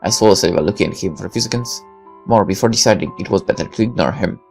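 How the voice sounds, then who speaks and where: A man reading a first-person visual novel aloud as narrator, dramatic and deliberate, with halting pauses. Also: deep voice, creaky, a little mellow, faint music behind.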